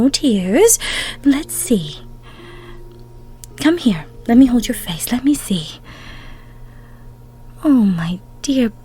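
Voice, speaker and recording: A woman playing a tender, emotional goddess of love in an intimate roleplay audio, cooing soothingly in a breathy, near-whispered voice. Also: high voice, warm tone. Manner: soothing, cooing, coddling with pet names.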